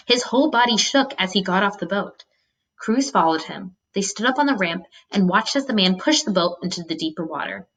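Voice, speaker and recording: A woman teacher reads a children's novel aloud in an expressive, instructive manner, pausing to explain to students. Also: mid-range voice. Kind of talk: storybook read-aloud with teaching asides.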